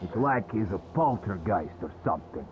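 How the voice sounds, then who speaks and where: A man's voice: deep voice, lively intonation, normal speed, lo-fi sound, faint music behind. A man playing a frustrated male stalker in a video game, giving a report.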